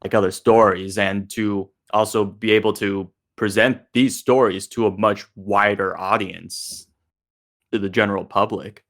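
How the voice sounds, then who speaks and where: A man's voice: fairly low pitch, little pitch movement, measured pace, fairly bright tone. A man hosting a casual discussion in a polite manner. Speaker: discussion host.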